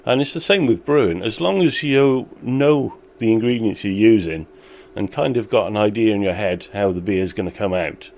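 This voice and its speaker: A man who owns a small brewery, chatting in an interview with a relaxed, self-deprecating manner and frequent laughter. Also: lowish voice, normal speed, slight background noise. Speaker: brewery owner. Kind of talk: casual interview chat.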